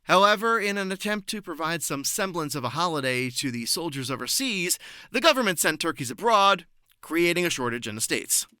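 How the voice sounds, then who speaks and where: Bright, dry-recorded man explaining as guest. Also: on the high side, animated, a little fast, clean tone, no room sound. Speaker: interview guest. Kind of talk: prepared explanatory interview answers.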